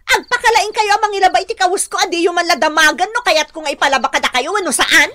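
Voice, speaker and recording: Haughty, shrill young woman, high-pitched. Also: quick pace, no room sound. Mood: annoyed, scolding. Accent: Filipino. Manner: sharp, scornful, boastful. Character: haughty, vain young working daughter, scolding and showing off. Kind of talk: Ilocano radio drama acting.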